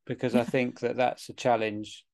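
Gravelly-voiced man asking questions. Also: mid-range voice, little pitch movement, a little mellow. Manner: halting, many restarts, reflective questions. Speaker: interviewer, host. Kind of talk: casual interview conversation.

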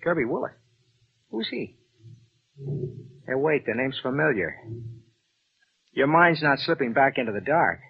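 An actor playing a brash, impatient, mocking stepson in a 1940s radio drama, on an old recording. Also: male voice, mid-range voice, very animated, unhurried, long pauses, slightly rough, full character voice. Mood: scoffing. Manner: brash, scoffing, punchy. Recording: slightly noisy, dull old radio recording.

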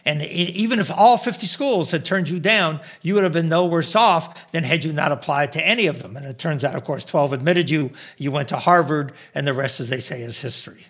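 A man's voice: fairly high pitch, a little fast, hardly any pauses, fairly bright tone. An older man hosting a podcast, introducing his guest and drawing lessons from her story in a professorial way. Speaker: podcast host. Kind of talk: podcast interview.

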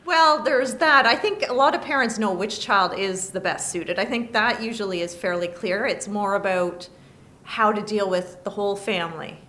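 A woman's voice: medium pitch, moderate pace, pushed and bright, slight background noise. A woman, a lawyer guest in an interview, explaining patiently and listing points one by one. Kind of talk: interview answers. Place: studio table.

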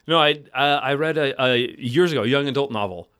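Male podcast storyteller, bright edge, playful, acts out quotes. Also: mid-range voice, brisk, smooth. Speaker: podcast co-host.

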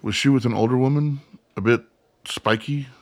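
Actor as earnest son, dry close sound. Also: male voice, mid-range voice, normal speed, a little mellow, no echo, lightly acted. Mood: uneasy, questioning. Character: earnest, pragmatic grown son pushing back. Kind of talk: radio play dialogue.

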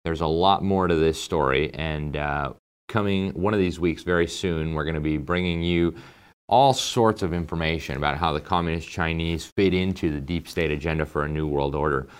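Deep-voiced man hosting political commentary. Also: normal speed, smooth. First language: English. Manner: emphatic, conversational, with frequent fillers and asides. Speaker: host.

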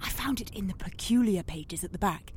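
A woman's voice: mid-range voice, fairly quick, darkish tone, slight background noise, lightly acted. A British woman playing a well-bred, self-conscious young Victorian lady in an audio drama, speaking politely and formally with some hesitancy. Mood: embarrassed, earnest. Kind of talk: audio drama acting in period English.

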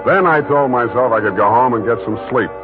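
An actor playing a dry, wisecracking private detective, narrating a 1940s radio drama in a laconic, knowing tone. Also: male voice, medium pitch, fairly quick, phone-quality sound, lightly acted. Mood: wry. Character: hard-boiled male private detective, dry and wry. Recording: scratchy old radio broadcast with music.

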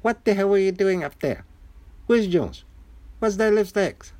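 A man's voice: high-pitched, expressive, measured pace, darkish tone, pitched above the performer's own voice. A man voicing a baffled, sweary male hospital patient in a radio play, given to exclaiming.

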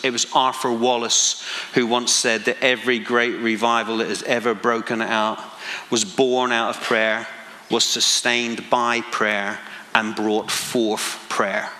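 A Northern Irish man in his forties preaches with a bright, forceful voice. He mixes self-deprecating asides with passionate emphasis. His words ring out with a long hall reverb. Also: mid-range voice, fairly even intonation, a little slow. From Northern Ireland. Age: 40s. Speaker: pastor. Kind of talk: sermon.

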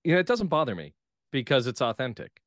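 An American man speaking as a playwright guest in an interview, analytical, measured and thoughtful. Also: medium pitch, big pitch swings, no echo, studio quality. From United States.

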